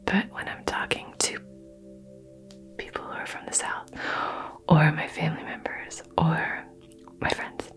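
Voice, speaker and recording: A woman from the American South whispering slowly and soothingly through a crystal healing roleplay. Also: sits low, flat delivery. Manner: soft, slow, soothing whisper. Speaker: ASMR creator doing a crystal healing.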